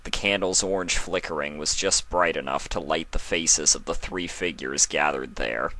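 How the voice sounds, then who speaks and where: A man reading fan fiction aloud as narrator, in an expressive storytelling style. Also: deep voice, rough recording.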